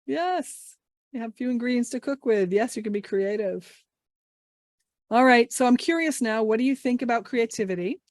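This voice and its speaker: A woman's voice: fairly high pitch, expressive, normal speed, a little mellow, dry recording, studio quality. A woman trainer leading an online workshop, upbeat and encouraging, asking the group many questions. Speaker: English language trainer. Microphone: video call mic.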